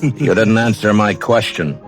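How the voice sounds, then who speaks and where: Male actor as unyielding frontier marshal for radio western. Deep, clipped, dry authority. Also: a little slow, faint music behind, lightly acted. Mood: hard, challenging. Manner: terse, commanding, dry. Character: stern, fearless frontier US marshal, grown man, dry and unyielding. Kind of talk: 1950s radio western acting.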